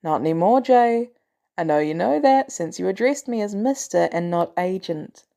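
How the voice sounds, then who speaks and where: A woman's voice: fairly low pitch, animated, moderate pace, gravelly, warm tone. A woman voicing a weary, wry, guarded retired male agent in an audiobook story, with a hesitant, sardonic way of talking. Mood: teasing, wry.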